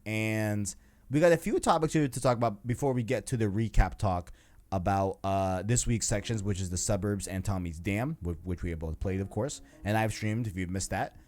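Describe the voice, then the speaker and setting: A man's voice: lowish voice, moderate pace, a little mellow. A man chatting informally and ramblingly as host of a gaming podcast, with slangy asides. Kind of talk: casual gaming podcast chat.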